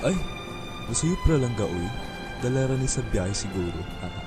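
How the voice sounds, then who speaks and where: A man's voice: on the low side, expressive, moderate pace, lightly acted. A man acting as a distracted, defensive young boyfriend in a radio drama. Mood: affectionate, flustered. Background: music.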